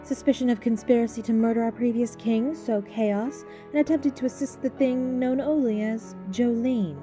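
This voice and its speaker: A woman playing a proud, stern young elf soldier with a husky, dark voice and a formal, commanding manner in an audio roleplay. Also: a little high, moderate pace, soft background music, natural voice. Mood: defiant.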